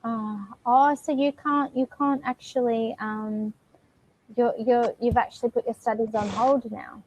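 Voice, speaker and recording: A woman's voice: on the high side, fairly even intonation, measured pace, darkish tone. A woman tutoring English over a video call, asking simple, encouraging questions. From Australia. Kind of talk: online language lesson conversation. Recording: muffled video call with faint noise.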